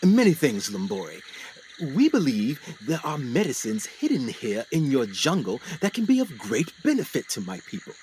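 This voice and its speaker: A man acting in a radio play as an earnest, excitable explorer doctor, speaking formally with dramatic pauses. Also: medium pitch, slight background noise, low-quality recording. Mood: eager, courteous.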